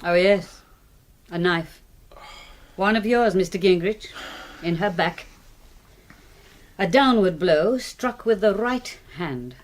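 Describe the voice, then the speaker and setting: A woman playing a composed, dry-witted doctor and amateur sleuth in a radio mystery, speaking in a measured, articulate, wry way. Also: fairly low pitch. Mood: grave. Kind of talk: British radio mystery drama acting.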